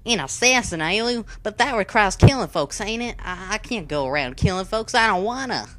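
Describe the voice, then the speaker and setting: A woman's voice: mid-range voice, expressive, normal speed, natural voice. Woman voicing an anxious, folksy rancher lad. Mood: alarmed protest. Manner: folksy rustic speech, pleading. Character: simple, anxious, reluctant young male rancher. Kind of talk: amateur animated fantasy series acting. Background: music.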